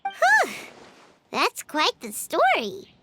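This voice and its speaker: A woman voicing a tiny, excitable, childlike companion creature with a squeaky, exclamatory delivery in a video game. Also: extremely slow, heavy background noise, full character voice. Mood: impressed. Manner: exclamatory, speaks of self in third person.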